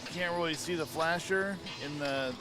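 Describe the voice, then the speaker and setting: A laid-back man with a slightly scratchy voice, chatting casually as a pinball player on a stream. His pitch runs a bit high, and music plays clearly in the background. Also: normal speed. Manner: laid-back, short agreeing replies.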